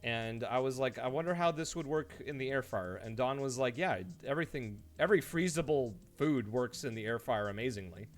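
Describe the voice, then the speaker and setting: A man streaming live, chatting casually to viewers and telling stories in an animated way, acting out his reactions. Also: mid-range voice, brisk, few pauses. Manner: animated storytelling, mimics his own reactions; laughs easily while talking. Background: background music.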